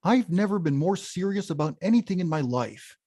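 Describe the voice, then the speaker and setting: An actor as a wildly animated, stubborn game villain. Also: medium pitch, a little fast, close to an everyday voice. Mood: earnest, determined. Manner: emotional, emphatic, blustering. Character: big frustrated, stubborn male video-game bad guy craving respect. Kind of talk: animated film script table read.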